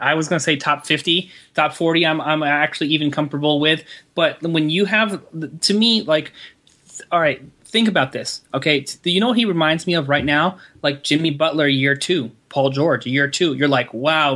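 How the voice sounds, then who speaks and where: A man's voice: on the high side, fairly even intonation, normal speed, bright, forceful tone. A man co-hosting a casual fantasy sports podcast, rambling and restarting his sentences as he gives his picks. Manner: rambling, restarts sentences, many fillers like "you know". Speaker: podcast co-host, fantasy basketball analyst.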